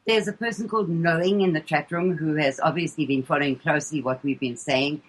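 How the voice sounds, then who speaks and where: A woman's voice: deep voice, fairly even intonation, brisk, slightly rough, poor audio. A woman from South Africa with an English-leaning South African accent, a guest talking earnestly on a radio show and hesitating often. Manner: earnest, hesitant with frequent ums and repeated words. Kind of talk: casual radio interview chat.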